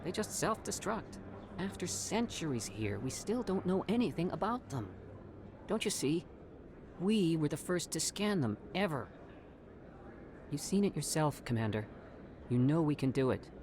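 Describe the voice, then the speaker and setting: An actor voicing an eager, evasive alien scientist in a video game, with a persuasive, self-justifying manner. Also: male voice, a little high, big pitch swings, moderate pace, long pauses, darkish tone, a little hiss or hum. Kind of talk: video game character acting.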